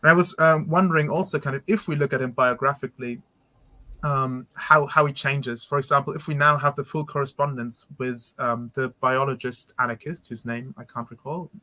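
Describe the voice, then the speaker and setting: A man's voice: mid-range voice, fairly even intonation, moderate pace, pushed and bright, dry recording. A man moderating an academic panel discussion, asking reflective, articulate questions and often hedging with "kind of". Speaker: conference moderator.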